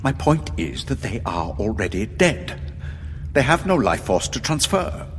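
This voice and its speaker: A man's voice: mid-range voice, big pitch swings, a little slow, gravelly. A man acting in an audio drama as an evasive, pragmatic official with a hesitant, self-justifying manner. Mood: defensive. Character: uneasy, evasive male official, pragmatic and deferential. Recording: long reverb, faint background noise.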